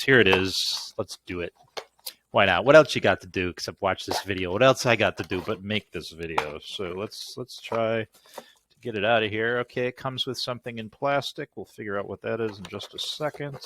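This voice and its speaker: A man's voice: lowish voice, fairly bright tone, a little hiss or hum. A middle-aged man rambling casually through a product unboxing in a goofy, grumbling, mildly profane way. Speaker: unboxing video host.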